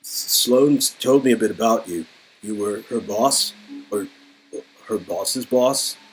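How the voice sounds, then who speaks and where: Man acting protective young hero, lowish voice. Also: takes it slowly, a little hiss or hum, poor audio. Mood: guarded. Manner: earnest, wry.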